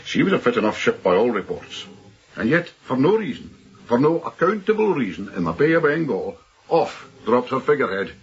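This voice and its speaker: An actor playing a gloomy, superstitious ship's officer in a radio drama, speaking with solemn, deliberate earnestness. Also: male voice, mid-range voice, very animated, breathy edge, highs cut off, exaggerated voice. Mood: grave, insistent.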